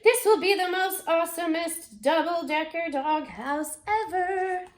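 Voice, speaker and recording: A woman voicing eager little twin boys with big plans in a storybook read-aloud, excited and emphatic. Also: very high-pitched, measured pace, soft background music, voice pushed up.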